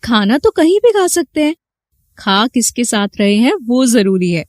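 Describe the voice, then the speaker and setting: A woman's voice: fairly high pitch, sing-song, measured pace, no room sound, studio quality. A woman playing a lonely, affectionate young wife in a dramatized story.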